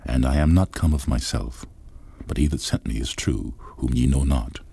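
A man with a gravelly voice reading scripture aloud in a steady, grave way. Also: rumbling low, normal speed. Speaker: Bible reader.

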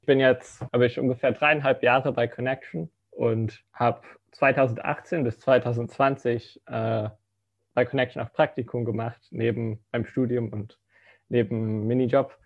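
A man's voice: mid-range voice, steady tone, moderate pace, gravelly, dull audio. A young man in his twenties, raised in the Philippines, giving a hesitant personal testimony full of fillers. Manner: hesitant, many fillers, soft-spoken. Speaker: student ministry intern giving a testimony.

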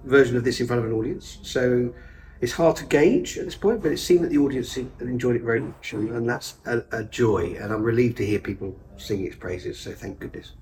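A male stage actor speaking in an ordinary middle register. He talks quickly and eagerly. He sounds distant from the microphone, as if captured in a small theatre. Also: mid-range voice, darkish tone. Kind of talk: interview chat. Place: theatre above a pub. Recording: distant, off-mic.

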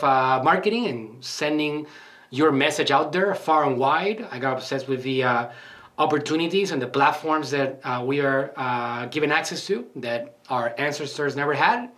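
A man's voice: on the high side, moderate pace, a bit bright. A man who runs a marketing agency, talking earnestly and emphatically in a motivational podcast style, with frequent fillers. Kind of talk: motivational podcast talk and interview answers.

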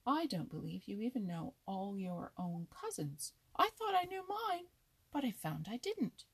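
A woman voicing a dignified, earnest male rabbit in a storybook, quick to retort. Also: mid-range voice, very animated, breathy edge, voice pushed up, full character voice.

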